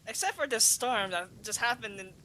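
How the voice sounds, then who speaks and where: A game streamer giving casual, reactive live commentary in an informal, halting way. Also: medium pitch, expressive, very noisy.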